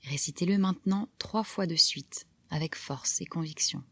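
A woman guiding a relaxation meditation, giving calm, soft, measured instructions with pauses between phrases. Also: lowish voice. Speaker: yoga nidra guide. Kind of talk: guided relaxation meditation narration.

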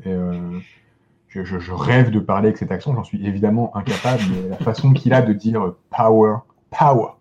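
A man's voice: lowish voice, a little fast, a little mellow, muffled recording, slight background noise. A man in a casual podcast discussion as a guest critic, hesitating and repeating words as he searches for them.

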